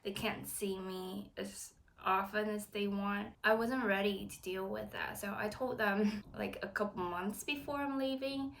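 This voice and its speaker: A young woman from Taiwan who grew up speaking Chinese, chatting to her viewers in a casual vlog. She talks at a middle pitch in an earnest, encouraging way. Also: a little slow, creaky, hardly any pauses, a bit bright, a little hiss or hum. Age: 20s. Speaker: vlogger. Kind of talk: casual talk to camera.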